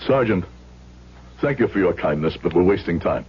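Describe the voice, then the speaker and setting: An actor playing a proud, principled immigrant shoemaker in a radio drama, speaking formally and emphatically. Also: male voice, low-pitched, expressive, slight background noise. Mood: indignant. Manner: formal, emphatic, deliberate speech. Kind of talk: old-time radio drama acting. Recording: muffled old radio recording.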